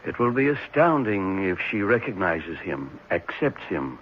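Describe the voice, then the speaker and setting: A thoughtful clinic psychiatrist in a vintage radio play. His low voice rises and falls expressively as he explains things with reflective pauses. The recording is muffled. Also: big pitch swings, a little hiss or hum. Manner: hesitant, reflective, measured explaining. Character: thoughtful, assured male psychiatrist at a private clinic. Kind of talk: 1970s radio drama acting.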